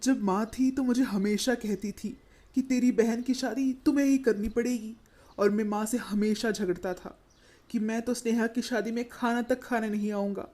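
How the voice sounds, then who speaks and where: A woman's voice: fairly high pitch, warm tone, close to an everyday voice. A woman voicing an earnest young village brother. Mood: tender. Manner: earnest, protective, raised voice when upset. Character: young village man, caring protective brother.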